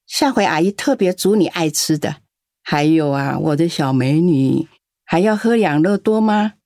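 A woman's voice: low voice, expressive, normal speed, darkish tone, dry recording, studio quality. An actress playing a lonely, doting elderly grandmother in a radio drama. She talks in a coaxing, chatty way and coughs now and then. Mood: affectionate. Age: older.

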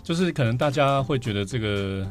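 A man with a Taiwanese Mandarin accent talks as an interview guest. He tells his stories casually and throws in playful asides, in a middle-pitched voice with music behind him. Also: expressive, brisk. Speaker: podcast guest, vinyl record collector.

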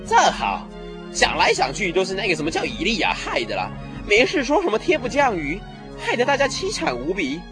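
A man's voice: high voice, wildly swooping pitch, a little fast, music in the background, full character voice. An actor playing a petulant, vengeful king in a radio drama, blustering and whining. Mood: grumbling. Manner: whiny, blustering. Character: King Ahab, petulant, grumbling, vengeful male Israelite king. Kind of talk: Mandarin radio drama acting.

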